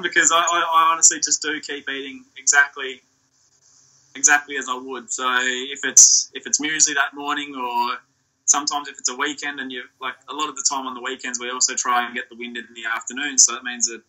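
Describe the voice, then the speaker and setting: A young Australian man, a surf ski champion, answering interview questions in a relaxed, rambling way over a video call from a small indoor room. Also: mid-range voice, fairly quick, low-quality recording. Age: young adult. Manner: relaxed, rambling, with restarts and fillers. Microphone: laptop or phone on a video call.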